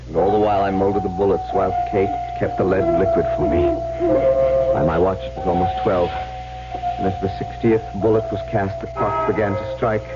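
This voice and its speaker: An actor playing a tormented young huntsman, gravely and dramatically recounting his tale in old-time radio style. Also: low-pitched, close to an everyday voice. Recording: dull old radio recording.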